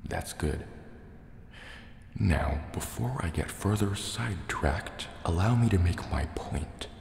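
A man voicing a large, menacing, distrustful sea serpent dragon in a slow, deliberate, soft-spoken ASMR roleplay. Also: deep voice, lively intonation, creaky, heavily acted. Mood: cold menace. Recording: echoey cave reverb, faint noise. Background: water and cave ambience.

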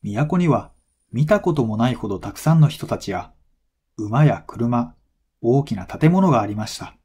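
A man reading a folktale as a scripted narration for language learners, in measured, clearly phrased storytelling. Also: medium pitch, lively intonation, mellow.

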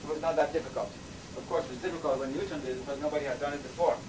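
A man's voice: a little high, fairly even intonation. Older man lecturing, Persian native. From Iran. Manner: measured, thoughtful lecturing with frequent "uh" pauses. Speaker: professor. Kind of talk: university lecture. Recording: lecture recording with audible background noise.

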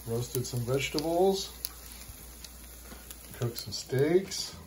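A man's voice: medium pitch, lively intonation, extremely slow, background noise. A man talking casually while filming a home cooking video in his kitchen. He gives simple, encouraging step-by-step cooking tips. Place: home kitchen and dining table, indoors. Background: loud background music.

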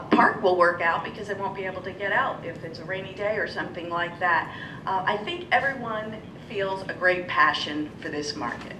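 Middle-aged American woman, citizen at podium, echoey and distant. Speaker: citizen speaking at public comment. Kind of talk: informal public comment. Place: indoor council meeting room. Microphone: gooseneck podium microphone. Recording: echoey room, distant mic, noisy rumble.

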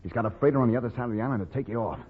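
An actor playing an urgent, hard-talking criminal accomplice in 1940s radio acting style. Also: male voice, mid-range voice, rapid-fire, slightly rough, lightly acted. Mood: urgent, conspiratorial. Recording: tinny old radio, reverberant, faint noise.

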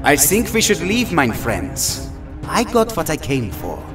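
A wry, gentle young mutant hero played by a man in an audio drama. His voice is fairly high and swings widely in pitch, with slow delivery, slightly off-mic. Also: huge pitch swings, recorded from a distance, over music. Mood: cool defiance.

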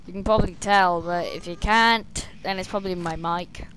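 A young woman, slightly hoarse and losing her voice, chatting and rambling as she plays a stealth game at her desk, talking to the enemies on screen. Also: lowish voice, steady tone, normal speed, a bit bright, a little hiss or hum, low-quality recording. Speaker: gameplay streamer. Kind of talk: casual gameplay commentary. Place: small room indoors, seated at a desk.

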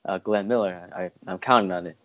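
A man's voice: low voice, brisk, fairly bright tone. A young man hosting a casual interview over a video call, informal and somewhat hesitant as he talks. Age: young adult. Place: indoor room at home. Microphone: wired earphone mic on video call. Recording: dull, muffled video-call audio.

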